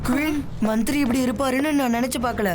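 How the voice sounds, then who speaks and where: A woman's voice: high-pitched, fast talker, over music, close to an everyday voice. A woman voicing a brave, earnest young boy hero in a cartoon. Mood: determined. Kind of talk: Tamil cartoon dub acting.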